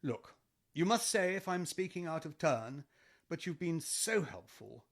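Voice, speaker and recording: Man playing a courteous, smooth-talking gentleman, very animated. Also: fairly high pitch, unhurried, exaggerated voice. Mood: courteous wooing. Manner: courteous, formal, hesitant. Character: smooth-talking, polite gentleman posing as wealthy squire. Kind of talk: radio play acting.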